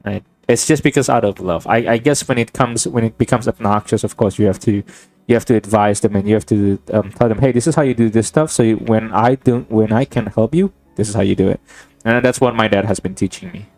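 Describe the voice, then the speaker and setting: Soft-spoken young man, halting and reflective. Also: on the low side, no room sound, faint music behind. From Indonesia. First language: Indonesian. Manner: thoughtful, halting, with many restarts and fillers. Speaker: gaming vlogger. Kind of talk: rambling reflective monologue over gameplay.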